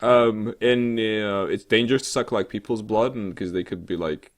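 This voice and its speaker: A man co-hosting a casual gaming talk show, rambling and halting, with an irreverent, sarcastic, sweary way of talking. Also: on the low side. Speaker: co-host of a gaming news show. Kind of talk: casual chat about games news.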